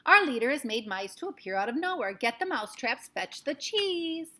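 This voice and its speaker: A woman reading aloud, voicing an excited crowd of wicked female witches with shrill, gleeful exclamations. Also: fairly quick.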